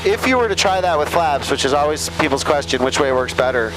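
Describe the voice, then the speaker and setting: A man's voice: on the high side, lively intonation, brisk. A man working as a flight instructor, talking casually and encouragingly through a headset in a small plane. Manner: informal, encouraging. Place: small airplane cockpit in flight. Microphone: aviation headset. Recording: loud cockpit engine noise, aviation headset intercom.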